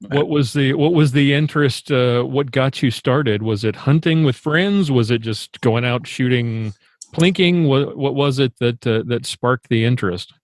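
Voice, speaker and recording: A man's voice: mid-range voice, unhurried, no room sound, studio quality. Man host asking casual questions. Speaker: podcast host.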